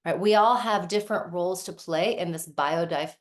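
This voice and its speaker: Woman, 40s, from Lebanon, raised in the US; low-pitched, reflective workshop facilitator who keeps checking in with the room. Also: brisk. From Lebanon, raised in the United States. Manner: thoughtful, often checks in with "right?". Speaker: somatic movement practitioner leading a workshop.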